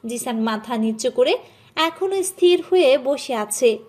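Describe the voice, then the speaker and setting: High-pitched woman narrating a story. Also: expressive, a little slow, darkish tone. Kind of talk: scripted narration of a dramatic love story.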